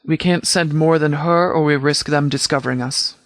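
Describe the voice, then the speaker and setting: Man playing a game sheriff's commander, slightly high male voice, formal, precise, measured, no-nonsense, very dry recording. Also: steady tone, fairly bright tone, dry recording. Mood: composed, authoritative. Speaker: commanding officer character in a video game. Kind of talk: scripted game dialogue, mission briefing.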